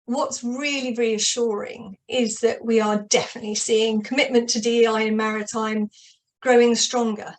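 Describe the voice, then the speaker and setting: Flat-toned woman hosting a webinar. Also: fairly high pitch, normal speed, rough recording. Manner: upbeat, many fillers and restarts. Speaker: webinar host. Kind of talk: opening remarks.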